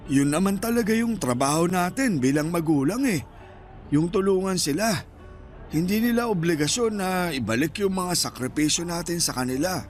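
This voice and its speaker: An actor playing an elderly, selfless father in a radio drama, speaking in a calm, accepting, reassuring manner. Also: sits high, normal speed, faint music behind. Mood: gently reassuring. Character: elderly father, a gentle, selfless, contented street vendor.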